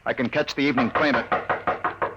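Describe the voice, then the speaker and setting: An actor playing an indignant, insistent doctor and galactic citizen in a 1950s radio drama. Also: male voice, medium pitch, steady tone, normal speed, dull audio, heavy background noise, natural voice. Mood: urgent, pleading. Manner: insistent, urgent delivery.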